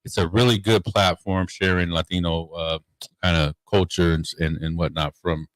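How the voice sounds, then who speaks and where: Deep bass comedian guy on the radio, laid back, rambly, dry close sound. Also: steady tone, moderate pace, no echo. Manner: easygoing, rambling storyteller. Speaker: comedian guest. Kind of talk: casual radio interview. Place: radio studio.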